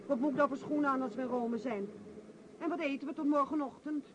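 A nagging, exasperated wife played by a woman in a radio drama. Her high voice bickers and scolds with cutting sarcasm. The old recording has clear background noise. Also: fairly even intonation, fast talker, natural voice. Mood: reproachful. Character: sharp-tongued nagging wife and mother, exasperated with husband. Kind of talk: radio play acting.

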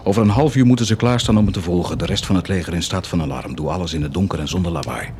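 An actor playing a cruel, volatile army commander in a theatrical radio drama, sardonic and commanding in manner. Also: male voice, low voice, racing, a little mellow, a little hiss or hum, lightly acted. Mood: brusque, commanding.

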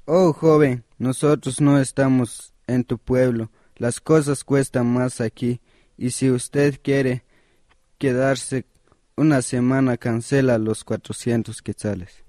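A man's voice: medium pitch, fairly even intonation, slow, dark tone, no echo, studio quality, natural voice. A man with a Guatemalan accent acting a curt, unyielding city landlord in an amateur radio drama. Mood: curt, dismissive.

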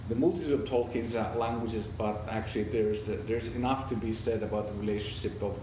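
A man's voice: on the low side, steady tone. Finnish-accented man lecturing from a distance. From Finland. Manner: halting, many restarts and repeated words. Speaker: guest lecturer, linguistics professor. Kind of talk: academic guest lecture. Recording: echoey room, distant mic, noisy.

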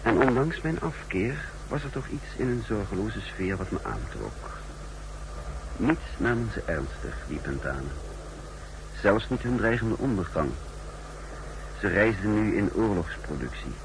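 An actor playing a secretive, scornful spy who recounts his mission in a measured, deliberate way in a 1960s radio drama. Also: on the low side, very animated. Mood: detached, scornful. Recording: noisy, humming 1960s radio recording.

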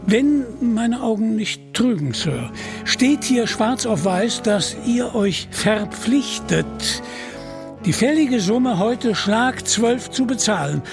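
A man's voice: sits high, lively intonation, takes it slowly, creaky, over music, voice pushed up, exaggerated voice. A man voicing a greedy, pompous medieval bishop in an audio storybook, with condescending mock courtesy. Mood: oily, mock-polite.

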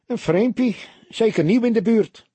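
A man's voice: high voice, a little slow, mellow, slightly rough, no room sound, voice pushed up. A man voicing a thin, wary barkeeper in an audiobook, slangy and grumbling, wheedling and pleading. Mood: suspicious.

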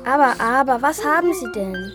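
Plucky practical child: high voice, direct delivery, children's audio drama with faint music. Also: female voice, animated, normal speed. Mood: curious, bold. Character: bold, practical young child. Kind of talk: children's radio play acting.

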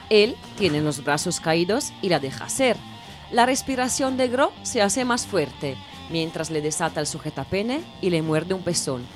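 A woman playing a wry, excitable narrator in an audio drama, telling the tale like a running commentary. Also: mid-range voice. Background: music.

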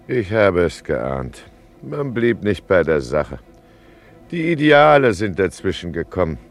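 An actor playing a weary, dignified judge in a radio play, narrating in a measured, deadpan manner. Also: male voice, low-pitched, soft background music, exaggerated voice. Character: weary, dignified male judge recounting chaos drily.

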